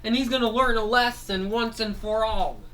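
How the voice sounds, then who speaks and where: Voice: mid-range voice, steady tone, normal speed, pushed and bright, distant mic, a little hiss or hum, voice pushed up, natural voice, poor audio. A storyteller voicing a vain, hot-tempered toy robot man ranting about revenge. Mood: angry. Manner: ranting, threatening.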